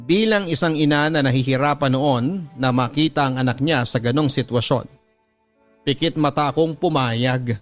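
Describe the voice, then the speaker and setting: A Filipino man narrates a dramatic first-person story in a mid-pitched voice, with music playing clearly underneath. His delivery is earnest and measured, and he stretches out the ends of phrases. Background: background music.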